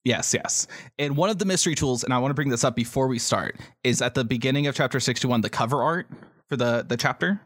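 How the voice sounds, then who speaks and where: Male co-host. Average pitch, informal joking chat, close and dry podcast sound. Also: quick pace, fairly bright tone, no room sound. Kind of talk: casual, jokey podcast chat.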